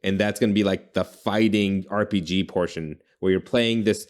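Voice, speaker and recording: A man's voice: deep voice, fairly even intonation, normal speed. A man hosting a casual brainstorming chat, informal and often correcting himself as he thinks aloud. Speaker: podcast host pitching game ideas.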